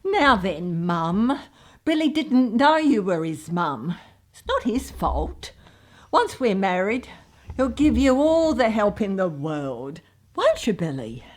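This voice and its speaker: A woman's voice: medium pitch, sing-song, slow. Woman playing a swooping, melodramatic soap-opera daughter. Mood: coaxing. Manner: gushing, theatrical. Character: excitable middle-aged bride-to-be daughter in a radio soap, melodramatic. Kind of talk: comic radio soap acting.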